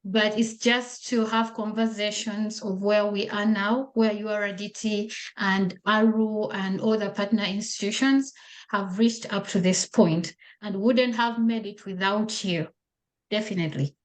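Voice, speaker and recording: A woman's voice: medium pitch, steady tone, measured pace. A woman from Uganda hosting a video call, giving welcoming remarks with thanks and many fillers. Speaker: event host.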